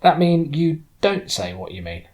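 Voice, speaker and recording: A man's voice: on the high side, very animated, unhurried, voice pushed up. A man voicing a cheerful, kindly Cornish woman, chatty and direct, in a storybook reading. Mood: curious, bright. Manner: chatty, warm, direct, questioning.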